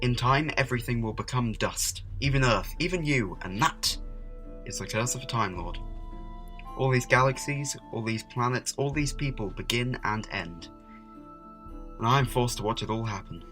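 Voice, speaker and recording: A man playing a distracted, wry, brooding time traveller in an audio drama, speaking haltingly. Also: medium pitch, a bit bright, soft background music, close to an everyday voice. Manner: halting, hesitant, full of uhs.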